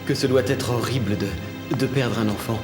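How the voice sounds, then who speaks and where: A courtly young prince voiced by a man, earnest and ardent, in a cartoon fairy tale. His mid-pitched voice is formal and deferential, with long, echoing hall reverb around it. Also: steady tone, normal speed, music in the background, close to an everyday voice. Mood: solemn.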